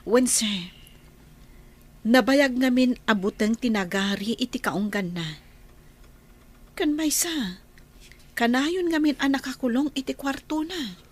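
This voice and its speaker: Slow, gentle young woman, acted out. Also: sits high, expressive, breathy edge, heavily acted. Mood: polite, earnest. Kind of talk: radio drama acting.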